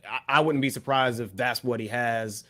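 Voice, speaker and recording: An American man on a casual hip hop panel, talking in wordy, measured, rather formal phrases. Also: mid-range voice, fairly even intonation, pushed and bright. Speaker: talk show panelist.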